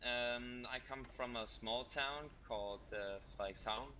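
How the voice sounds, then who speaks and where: A young Danish man with a bright, forward voice talks casually as a guest, pausing often on ums and uhs. His English has a Danish accent. A faint hiss sits under the recording. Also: mid-range voice. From Denmark. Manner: hesitant, many ums and uhs. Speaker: guest gamer. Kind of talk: casual interview chat.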